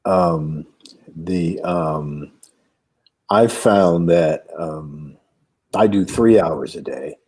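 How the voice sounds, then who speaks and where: Low, husky-voiced man hosting a chat. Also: darkish tone. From Titusville. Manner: chatty, halting with fillers. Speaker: host. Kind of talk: casual interview chat.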